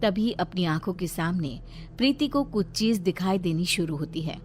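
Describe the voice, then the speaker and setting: A woman's voice: on the low side, lively intonation, moderate pace, darkish tone, slight background noise. A woman narrating a scripted horror cartoon story.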